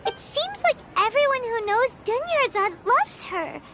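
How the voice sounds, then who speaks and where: A squeaky, bossy little companion. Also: female voice, big pitch swings, exaggerated voice. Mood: musing. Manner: chirpy, pushy, quick to comment. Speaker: game character. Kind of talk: video game voice acting. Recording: game audio with background music.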